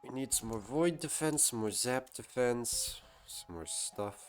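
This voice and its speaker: A man's voice: medium pitch, lively intonation, unhurried, soft background music. A man streaming a game live, chatting casually to his viewers and musing aloud to himself. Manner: talks to chat and muses aloud to himself.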